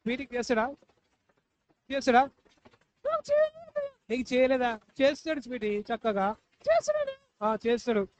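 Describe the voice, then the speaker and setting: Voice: mid-range voice, steady tone, unhurried, long pauses, dry recording. A puppet show host talking live to children, coaxing and repeating questions. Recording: dull lo-fi live recording, faint noise.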